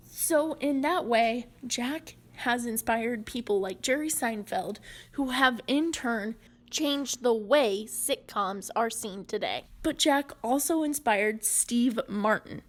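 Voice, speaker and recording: A woman presenter delivers prepared explanatory narration. Her voice sits a little high, and she moves very slowly through her points in a measured, deliberate manner. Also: animated. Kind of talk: prepared video-essay narration on comedy history.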